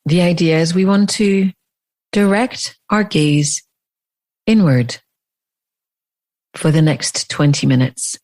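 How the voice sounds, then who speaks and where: A woman leading a guided meditation as a teacher, speaking in deliberate phrases with long pauses. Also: low-pitched, darkish tone.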